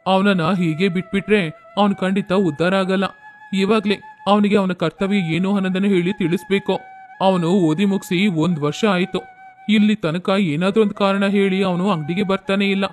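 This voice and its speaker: A stern, worried merchant father from a cartoon tale, voiced by a man. He lectures in a firm tone, and his voice sits high for a man. Faint music plays behind the scene. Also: fairly even intonation, fairly quick, close to an everyday voice.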